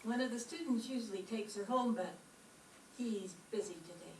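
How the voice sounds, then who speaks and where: A woman's voice: medium pitch, normal speed, lightly acted. A woman playing a cheerful, helpful landlady in a radio comedy. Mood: obliging. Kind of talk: old-time radio comedy acting. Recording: echoey room, distant mic, faint noise.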